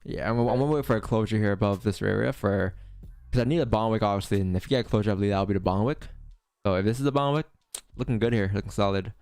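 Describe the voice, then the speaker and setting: A man's voice: lowish voice, quick pace, darkish tone, smooth, dry recording, soft background music. A man hosting a live trading stream, talking in a loose, slangy, chatty way. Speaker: live-stream host and forex trader. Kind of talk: casual live-stream chat.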